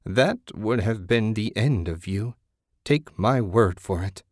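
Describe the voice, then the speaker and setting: A man voicing an eerie old woman hermit in a story, folksy and hospitable yet sly, with drawn-out phrasing. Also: fairly low pitch, animated, no echo, studio quality. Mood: knowing, unruffled.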